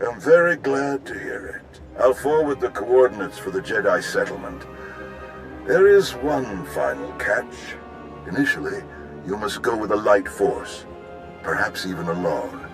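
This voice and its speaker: An actor playing a grave, resolute general in a video game, speaking in a formal, measured way. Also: male voice, lowish voice, big pitch swings. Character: grave military general rallying against the Sith. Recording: background music under the voice.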